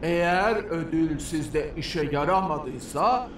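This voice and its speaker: An imperious mist creature in a cartoon, speaking very slowly and coldly. The commanding voice is fairly high and sounds distant, with music behind. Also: male voice, reverberant. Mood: contemptuous, threatening. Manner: cold, commanding. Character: imperious, mysterious villain made of mist.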